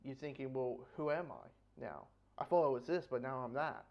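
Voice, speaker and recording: A man's voice: medium pitch, lively intonation, moderate pace. A man giving a casual spoken comic book review, rambling and repeating phrases as he goes. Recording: dull, lo-fi audio.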